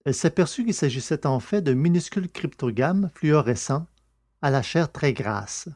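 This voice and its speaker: A man's voice: on the high side, expressive, moderate pace, darkish tone. A man presenting a podcast and narrating a science fiction serial in carefully phrased, literary read speech. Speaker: podcast host and audiobook narrator.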